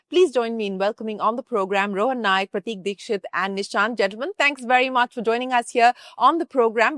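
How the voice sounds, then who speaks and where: A woman's voice: on the high side, dry recording, studio quality. A woman with an Indian English accent, hosting an interview in a polished, articulate and emphatic broadcast style. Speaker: podcast host and interviewer.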